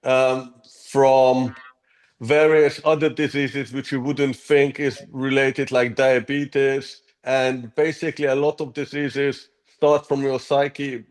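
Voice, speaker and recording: A man's voice: medium pitch, little pitch movement, takes it slowly, poor audio. A man from Hungary, an app co-founder, giving earnest interview answers with frequent ums and restarts.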